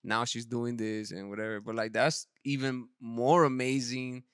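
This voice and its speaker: A man's voice: medium pitch, fairly even intonation, moderate pace, clean tone, dry recording, clean studio sound. A man hosting a podcast chat, talking informally with slang, some swearing and effusive reactions to his guest. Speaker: podcast host. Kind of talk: casual chat interview.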